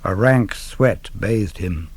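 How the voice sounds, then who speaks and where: A man giving a dramatic radio reading of classical verse, solemn, measured and deliberate. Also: fairly low pitch, slightly rough, no room sound. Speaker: reader of poetry.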